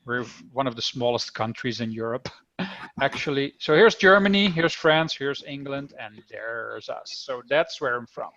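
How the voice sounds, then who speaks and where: A man's voice: medium pitch, expressive, normal speed, a bit bright, dry recording, muffled recording. A man from the Netherlands with a Dutch accent, teaching an online coding class to children in a chatty, upbeat way over a video call. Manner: upbeat, chatty, talks to kids directly.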